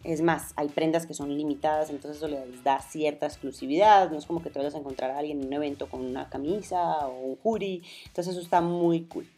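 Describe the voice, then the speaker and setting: Colombian-born woman podcast host, lower voice, rambly sign-off, faint music under. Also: fairly quick, few pauses, dark tone. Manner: chatty, rambling, self-deprecating. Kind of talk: solo outro to camera.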